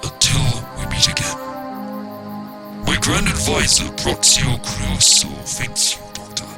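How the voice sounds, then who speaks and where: A stately male dignitary acted by a man in a fan audio drama, grave and ceremonious. The voice is heavily creaky and very slow, with music clearly behind it. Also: mid-range voice, very animated, exaggerated voice. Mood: solemn gratitude. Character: grateful, formal male dignitary, grave and courtly.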